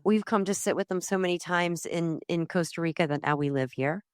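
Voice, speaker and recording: Woman, 50s, interview guest, heartfelt, animated, slightly low voice. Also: brisk. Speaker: guest, community founder. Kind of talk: conversational interview.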